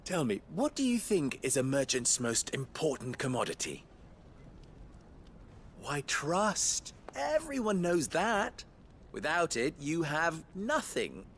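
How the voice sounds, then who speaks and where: Smug, theatrical young merchant boss, lively delivery. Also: male voice, fairly high pitch, takes it slowly, slight background noise, heavily acted. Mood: smug lecturing. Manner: mannered, mocking, rhetorical questions. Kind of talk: cinematic video game acting.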